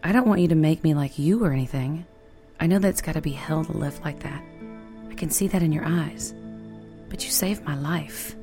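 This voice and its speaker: A woman voicing a nervous, grateful young woman who speaks tentatively and haltingly, close to tears, in an audiobook. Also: deep voice, warm tone, faint music behind. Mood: tearful earnest.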